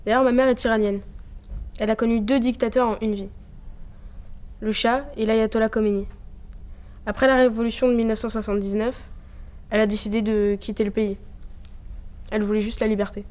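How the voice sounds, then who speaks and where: Teenage girl, higher voice, calm testimony. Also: fairly quick, pauses often. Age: teens. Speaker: student telling family history. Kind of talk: personal testimony, documentary voice-over.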